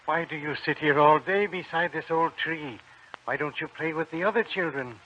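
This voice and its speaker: An actor playing a tender, worried uncle in an old radio drama, speaking with gentle concern. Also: male voice, on the high side, moderate pace, natural voice. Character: Uncle Durand, a gentle, worried older peasant man. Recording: dull old radio recording with music.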